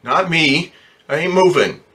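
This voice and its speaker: A man's voice: a little high, measured pace, sharp tone. A man playing an embittered, distraught ambulance driver in slangy, stammering old-time radio drama style. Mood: bitter defiance. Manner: slangy working-class talk, stammering when upset.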